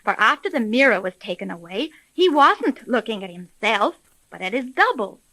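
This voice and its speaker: An earnest lady detective in a 1930s radio serial. Her voice sits high and her delivery is crisp and theatrical. The old recording is dry, with little room sound. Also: sing-song, moderate pace, pushed and bright, dry recording, exaggerated voice, low-quality recording. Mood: keen, reasoning. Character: earnest young woman private detective running an agency.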